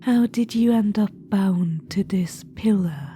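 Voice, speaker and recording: A woman's voice: mid-range voice, takes it slowly, close to an everyday voice. A woman voicing a scheming undead witch-queen in a whispered audio roleplay, confiding and fond. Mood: coaxing. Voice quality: soft whisper. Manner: confiding, fond, slyly amused. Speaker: ASMR roleplay performer. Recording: music underneath. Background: background music.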